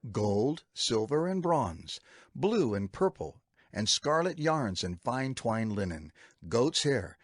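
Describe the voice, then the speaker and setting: An actor playing a solemn, fervent male prophet in a dramatized scripture reading, declaiming deliberately to his people. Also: medium pitch, very animated, no room sound, studio quality. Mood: solemn, instructing. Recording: music bed behind the voice.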